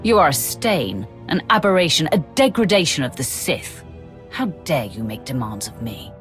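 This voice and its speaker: A woman playing a stern Sith warrior in a video game, speaking with crisp, controlled authority. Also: low voice, big pitch swings, normal speed, soft background music. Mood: contemptuous. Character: stern, commanding female Sith warrior loyal to her Empire.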